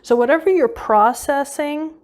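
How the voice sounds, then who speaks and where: A woman's voice: sits high, measured pace, a little mellow. Woman casually guiding a meditation. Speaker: meditation guide. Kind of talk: unscripted guided visualization meditation.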